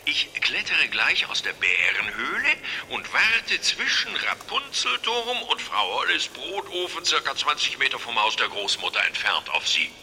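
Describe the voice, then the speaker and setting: An excitable, fussy old fairy-tale gentleman. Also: medium pitch, normal speed, gravelly, few pauses, a little hiss or hum, exaggerated voice. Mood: eager, explaining. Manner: excitable, pleading, theatrical. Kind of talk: children's radio play acting.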